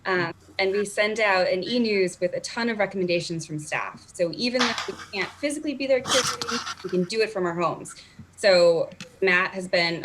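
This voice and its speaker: A woman's voice: medium pitch, background noise. A woman chatting casually as an interview guest on a video call, in a talkative, informal way. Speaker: library staff member, interview guest. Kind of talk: casual chat. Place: home, on a video call with a virtual background.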